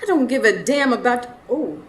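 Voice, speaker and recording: An actress playing a feisty, no-nonsense Southern wife in a radio drama, brisk and commanding. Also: female voice, a little high, sing-song. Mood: exasperated. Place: stage before a live studio audience.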